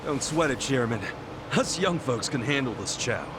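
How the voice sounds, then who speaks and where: A man's voice: medium pitch, animated, moderate pace, close to an everyday voice. An actor playing a hot-blooded, earnest man in a video game, speaking bluntly and passionately in slangy English over background noise. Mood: upbeat. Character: hot-blooded earnest man rallying reluctant underworld leaders.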